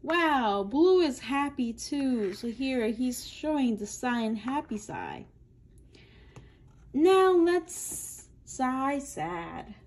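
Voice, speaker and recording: A woman's voice: high voice, big pitch swings, very slow. A woman reading aloud to young children in an instructional way, adding her own asides and stretching out key words. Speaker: children's book reader.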